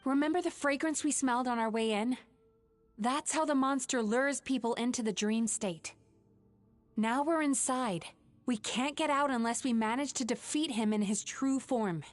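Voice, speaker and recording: Game role: woman playing composed, caring young female dream healer, resolute, higher-register voice. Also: normal speed, dry recording, lightly acted. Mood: calm, explanatory. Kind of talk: video game voice acting. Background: background music.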